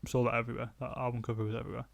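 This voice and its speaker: Male podcast co-host, average pitch, conversational music reviewer, no room echo at all. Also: level tone, rapid-fire, vocal fry. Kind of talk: casual music review chat.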